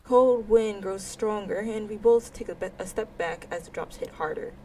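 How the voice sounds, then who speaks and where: A woman's voice: mid-range voice, normal speed, a little mellow, a little hiss or hum. A woman narrating a visual novel in a let's play, reading expressively and dropping in casual side remarks. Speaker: gaming video creator reading a visual novel aloud.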